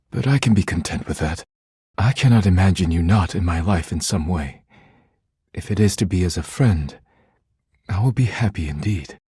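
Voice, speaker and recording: A man playing a formal, earnest, reserved video game character with measured diction and a husky voice. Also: sits low, dark tone, dry recording, studio quality, full character voice. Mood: tender, sincere. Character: formal, earnest, reserved man, once workaholic, now tender. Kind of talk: scripted game dialogue, formal romance scenes.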